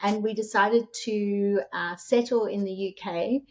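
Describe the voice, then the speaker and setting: A woman's voice: medium pitch, steady tone, unhurried, darkish tone. Australian woman, middle-aged, chatty, laughs easy, kinda muffled lo-fi audio. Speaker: guest, short-term rental host and author. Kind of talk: casual interview storytelling.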